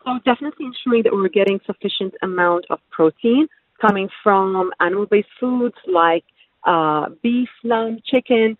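A woman dietitian from the UAE, explaining nutrition as a radio guest. She speaks fluently but fills pauses with ums. Her voice comes through a narrow, tinny phone line with no room sound. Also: mid-range voice, no room sound. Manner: fluent and informative, many ums.

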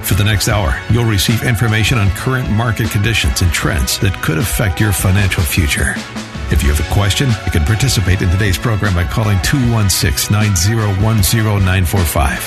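A man announcing the opening of a radio show, with a clear announcer delivery. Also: fairly low pitch, a little fast. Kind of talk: scripted radio show intro. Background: loud music bed.

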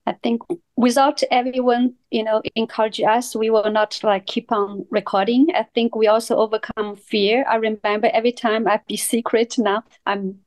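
A woman's voice: fairly high pitch, level tone, normal speed, a little mellow, no echo, low-quality recording. Earnest non-native woman podcast host, dry sound. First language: not English. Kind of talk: casual podcast chat.

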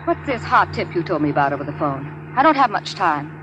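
An actress playing a guarded, dryly sarcastic young campaign worker in a 1940s radio drama. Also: female voice, fairly low pitch, sing-song, brisk. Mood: guarded, wry. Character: wary, quick-witted young woman in political campaigning. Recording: noisy 1940s radio recording.

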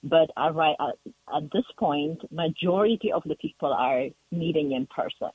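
A man's voice: fairly high pitch, moderate pace, slightly rough, no room sound. A man from Ethiopia with an Ethiopian accent, calling in to a radio talk show and speaking hesitantly with many fillers. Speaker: caller. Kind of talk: casual call-in chat. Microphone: telephone. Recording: tinny phone line.

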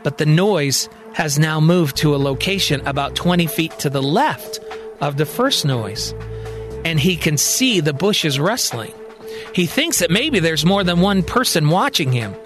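Male narrator, high-pitched voice, dramatic scripted storytelling, science-fiction podcast, music in background. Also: animated, measured pace, fairly bright tone.

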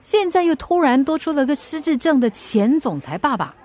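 Flustered single mum, Singaporean accent, very high animated voice, defensive radio-drama confidante. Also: female voice, normal speed. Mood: uneasy. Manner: expressive, colloquial, protesting. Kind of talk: naturalistic radio drama dialogue.

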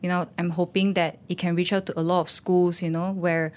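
A woman's voice: lowish voice, level tone, fairly quick. A woman, an animator and teacher, talking loosely in an interview with many "you know"s. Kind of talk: casual interview talk.

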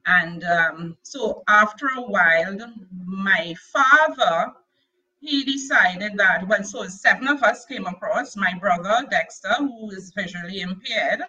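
A woman from Tobago with a Tobagonian accent tells her life story as an interview guest. Her voice is very bright and forward. She speaks gently, pausing often with fillers and restarting her sentences, and the recording sounds a little dull. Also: mid-range voice, husky. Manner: soft-spoken, hesitant with many fillers and restarts. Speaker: guest sharing her testimony. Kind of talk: personal testimony interview.

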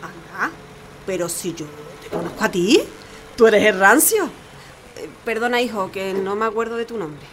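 A woman's voice: medium pitch, normal speed, slight background noise, close to an everyday voice. An actress playing a friendly, talkative, civic-minded married woman with an Andalusian accent in an audio drama, effusive and homely. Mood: admiring, effusive. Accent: Andalusian Spanish. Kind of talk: audio fiction acting.

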